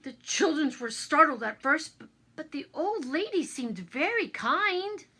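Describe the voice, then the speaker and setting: A woman narrating a children's bedtime story in a theatrical, expressive manner, as a storyteller. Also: high-pitched, a little slow. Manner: theatrical, expressive, with exclamations and asides.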